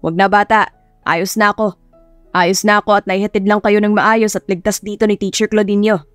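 A woman's voice: mid-range voice, flat delivery, a little fast, dry recording, soft background music, lightly acted. A woman voicing a cocky, cheerful young male tricycle driver who teases playfully in a romance audiobook. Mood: kindly.

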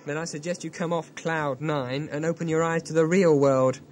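A man's voice: on the high side, fairly even intonation, moderate pace, soft background music, close to an everyday voice. A man playing a desperate, angry pleader in an audio drama, earnest and accusing.

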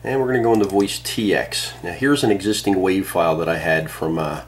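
A man's voice: low-pitched, fairly quick, a little hiss or hum. A man talking casually through a demonstration, explaining plainly step by step. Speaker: tutorial presenter, amateur radio operator. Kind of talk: casual spoken how-to demonstration. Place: indoors at a radio and computer desk.

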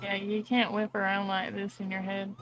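A woman's voice: medium pitch, monotone, moderate pace, fairly bright tone, slight background noise, rough recording. A woman talking casually as a paramedic partner in online game roleplay.

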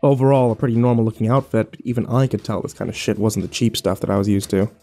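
Guy acting as tired sardonic young dude in an audio drama, mellow voice, soft music behind him. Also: fairly low pitch, quick pace, lightly acted. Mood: wry, weary. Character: weary, sarcastic young man, survivor of a nightmare. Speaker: actor and narrator. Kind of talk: first-person audio drama narration and dialogue.

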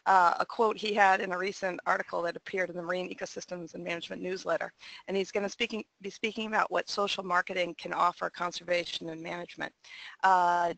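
Creaky, bright-voiced woman hosting a webinar. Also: medium pitch, no room sound, poor audio. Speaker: webinar host and coordinator. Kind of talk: semi-scripted webinar introduction.

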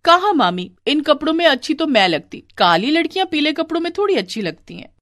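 A high-pitched, vain young woman acted by a woman from a cartoon family story. She is snobbish and snide, mocking with lively swoops. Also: a little fast, dry recording, studio quality. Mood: haughty. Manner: snide, haughty, sing-song mockery.

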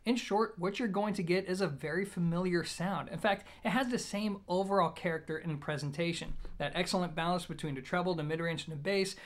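A man in his thirties giving a product review to camera in a measured, organized way. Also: high-pitched. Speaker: audio gear reviewer. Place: small plain indoor room.

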